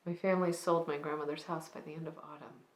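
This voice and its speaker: A woman performing a dramatic monologue as a wistful, grieving granddaughter, speaking softly with restraint and pauses between phrases. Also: low voice, steady tone, lightly acted. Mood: somber. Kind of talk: dramatic monologue, slow storytelling. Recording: roomy, echoing space.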